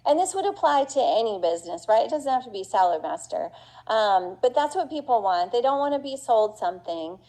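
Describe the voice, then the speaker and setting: Middle-aged woman talking shop at a conference, mellow warm voice, lots of ums and restarts, earnest. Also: a little high, big pitch swings, fairly quick, rough recording. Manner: chatty, many fillers and restarts; earnest and passionate. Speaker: business owner giving a talk. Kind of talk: conference talk.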